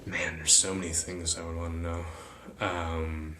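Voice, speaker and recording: Deep-voiced man musing, roomy echo. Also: flat delivery, measured pace, noisy background. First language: Chinese. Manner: searching, often checks in with "right?". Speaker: podcast guest. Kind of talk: reflective interview talk.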